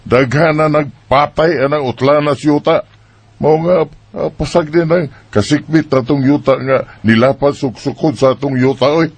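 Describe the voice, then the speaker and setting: A man's voice: on the high side, moderate pace, a little hiss or hum, natural voice. Patient, resigned Bisaya father, acted by a man. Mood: resigned, placating. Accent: Filipino Bisaya. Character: patient, conciliatory father who avoids quarrels. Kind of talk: Bisaya radio drama acting.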